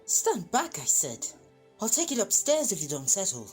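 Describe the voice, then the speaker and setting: An actor voicing a bossy, excitable teenage schoolboy in an audio drama, in a commanding, exclaiming manner. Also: mid-range voice, moderate pace, husky, soft background music. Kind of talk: scripted audio drama acting.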